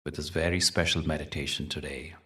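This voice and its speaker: A man with a deep, low voice leads a guided meditation. He speaks gently and deliberately, pausing for effect as he tells stories and soothes the listener. His voice sits a little distant from the microphone. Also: steady tone. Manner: gentle, deliberate, soothing delivery with dramatic pauses. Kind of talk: guided meditation talk with storytelling.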